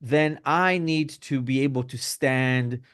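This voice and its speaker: A middle-aged rabbi from Belgium and Israel answering interview questions conversationally, hedging with lots of fillers and tag questions. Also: male voice, mid-range voice, takes it slowly, fairly bright tone, clear, resonant tone, no room sound, clean studio sound. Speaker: rabbi, podcast guest.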